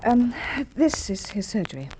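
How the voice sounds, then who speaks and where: A woman's voice: medium pitch, sing-song, moderate pace, lightly acted. A woman acting a tense, resentful wife with a secret in an old radio crime drama, speaking in a hesitant, guarded way. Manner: hesitant, guarded, emotionally clipped. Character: tense, resentful married woman hiding a murder. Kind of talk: Golden Age Australian radio drama acting. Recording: muffled, noisy old radio recording with clicks.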